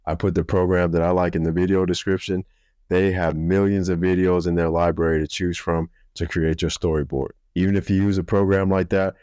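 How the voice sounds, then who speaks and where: A man with a deep voice giving a practical, matter-of-fact how-to overview, speaking deliberately slowly and clearly. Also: darkish tone, no room sound, clean studio sound. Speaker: video creator giving a how-to. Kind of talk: instructional talk to camera.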